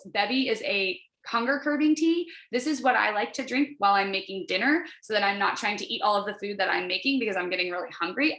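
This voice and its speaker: A woman with a bright, forward voice gives an informal coaching pitch over a video call. She talks in a chatty, encouraging way and leans on her points. The sound is roomy, with audible echo around her voice. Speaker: wellness sales coach presenting to a group.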